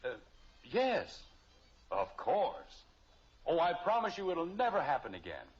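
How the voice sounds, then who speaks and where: A man's voice: on the high side, wildly swooping pitch, unhurried. A man playing an exasperated, easily flustered middle-aged suburban father in 1950s radio comedy style, sputtering and sarcastic. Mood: apologetic. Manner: sputtering, sarcastic, long-suffering. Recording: muffled old radio recording, faint hiss.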